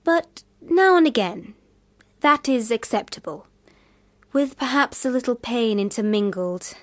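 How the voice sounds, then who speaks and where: A woman playing a proud, dreamy young poet in a radio drama, with a measured, lyrical, formal delivery. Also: a little high, lively intonation, no echo.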